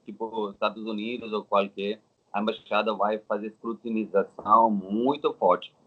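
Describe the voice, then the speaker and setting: A man's voice: on the low side, fairly even intonation. Dull-sounding recording, Indian-accented man. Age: 30s. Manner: halting, non-native Portuguese with simple phrasing. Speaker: interview guest. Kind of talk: casual personal storytelling. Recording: dull, lo-fi audio.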